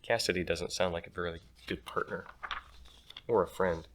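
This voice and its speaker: A man reading a children's story aloud in an expressive, playful way. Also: low voice, normal speed. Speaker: storybook reader.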